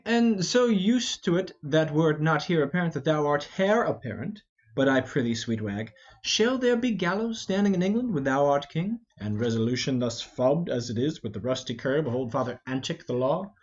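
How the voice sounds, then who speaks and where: A man's voice: a little high, sing-song, moderate pace. A man voicing a blustering, roguish old knight in an amateur Shakespeare reading, full of mock-indignant rhetoric. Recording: dull, lo-fi.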